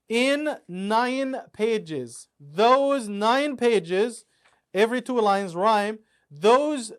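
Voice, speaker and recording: A man, an author and imam, explaining his Quran dictionary and how it was made, step by step with examples. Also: sits high. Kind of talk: explanatory talk about a book.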